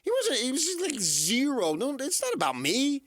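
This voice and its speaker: A man's voice: extremely high pitch, huge pitch swings, normal speed, a bit bright, dry recording, studio quality. A man hosting a sports radio show, debating off the cuff in an emphatic, insistent way and repeating his points for effect. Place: radio studio.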